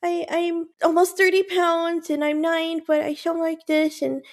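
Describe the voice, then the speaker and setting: A woman voicing her sweet male French bulldog with a high, squeaky, cutesy voice. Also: little pitch movement, normal speed. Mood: chirpy. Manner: cutesy, self-satisfied.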